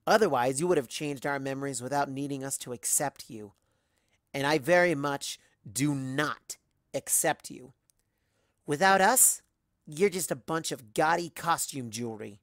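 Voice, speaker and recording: A young female pony heroine, voiced by a story reader stands her ground in a dramatic tale. The delivery is earnest and determined, with deliberate, emphatic phrases at a medium pitch in a dry recording. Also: no echo, close to an everyday voice. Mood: defiant, resolute.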